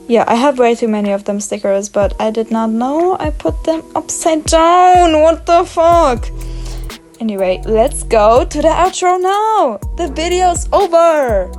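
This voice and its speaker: High-voiced young woman with German accent. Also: a little mellow. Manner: bubbly, rambling, giggly. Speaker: art vlogger. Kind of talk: casual voice-over narration.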